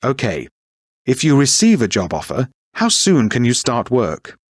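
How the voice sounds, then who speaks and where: A man playing an interviewer who fills in a form, asking brisk and businesslike questions. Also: medium pitch, wildly swooping pitch, husky, dry recording, clean studio sound, full character voice. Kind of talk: scripted textbook listening dialogue.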